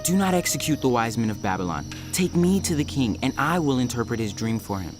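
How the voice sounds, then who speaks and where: A man's voice: mid-range voice, sing-song, lightly acted. Man acting a solemn, devout Hebrew seer over music. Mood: urgent. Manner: reverent, declamatory, measured phrasing. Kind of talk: dramatized audio Bible reading.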